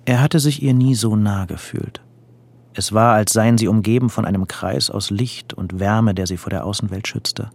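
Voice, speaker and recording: Radio drama narrator: intimate, calm man, low husky voice. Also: moderate pace, dry recording. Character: calm, intimate male storyteller.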